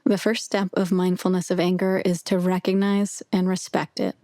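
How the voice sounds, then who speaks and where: A woman narrating a guided meditation with soothing, measured, gentle instruction. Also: lowish voice, little pitch movement, no echo, clean studio sound.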